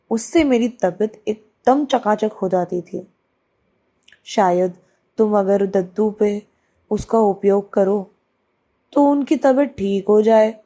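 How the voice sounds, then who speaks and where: A woman's voice: mid-range voice, dark tone. A woman voicing a helpful, fussing shopkeeper uncle in a children's cartoon story, with a homely advising manner.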